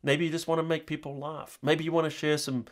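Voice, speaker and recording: A man's voice: a little high, level tone, brisk. Casual New man talking to viewers. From New Zealand. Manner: informal, rambling, addressing viewers directly. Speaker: tutorial channel host.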